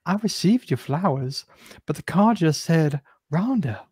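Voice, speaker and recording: A woman acts out an effusive female clerk in a live dramatic reading of her letters. The voice is gushing and a little husky, recorded dry with hardly any room around it. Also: deep voice, animated, measured pace, dark tone, no room sound, heavily acted.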